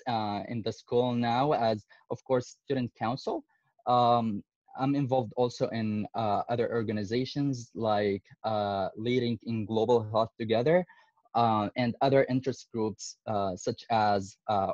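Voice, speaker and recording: A man's voice: mid-range voice, darkish tone, no room sound. A young man from Syria, a medical student in his twenties, presenting on a video call and speaking hesitantly with many fillers. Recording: dull, lo-fi video call audio.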